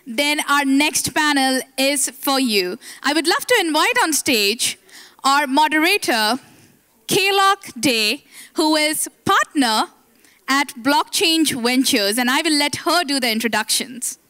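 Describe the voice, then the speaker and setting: A woman's voice: high voice, expressive, slow pace, sharp tone. A woman hosting a conference event, formally introducing the next panel and its moderator. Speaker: event host. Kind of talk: stage announcement. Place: conference stage.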